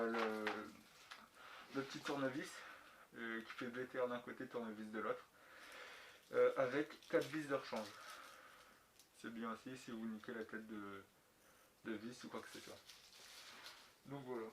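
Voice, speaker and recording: A man's voice: mid-range voice, a little slow, long pauses. A man giving a casual, unscripted product review in colloquial, slangy speech with some mild swearing. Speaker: motovlogger reviewing gear. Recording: echoey room, clicks and clear background noise.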